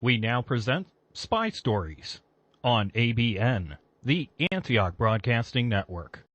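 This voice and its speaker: Male American network announcer, scripted intros, animated mid-range voice, dry and slightly dull sound. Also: medium pitch, slow, no echo. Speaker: old-time radio network announcer.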